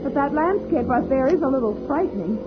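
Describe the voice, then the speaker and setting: A woman's voice: sits high, expressive, fairly quick, over music. An actress playing a caring, slightly anxious woman who looks after children, earnest and concerned in a 1950s radio serial style. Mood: composed, gently uneasy. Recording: tinny, noisy old radio recording.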